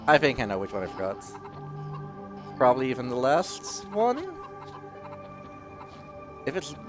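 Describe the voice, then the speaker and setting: A man's voice: medium pitch, sing-song, a little slow. A man giving casual, jokey live commentary as he plays through a video game. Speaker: speedrunner. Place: live gaming marathon event. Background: game music.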